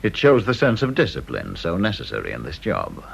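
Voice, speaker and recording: An actor playing a needling senior British official in a radio drama, dry, sardonic and mock-polite. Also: male voice, low voice, wildly swooping pitch, brisk, gravelly, husky, full character voice. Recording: dull old radio recording.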